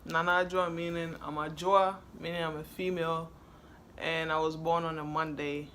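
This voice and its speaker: A woman's voice: low-pitched, little pitch movement, moderate pace, a bit bright, a little hiss or hum. A woman from Ghana, chatting casually and laughing easily as she answers questions in a vlog. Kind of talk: casual chat.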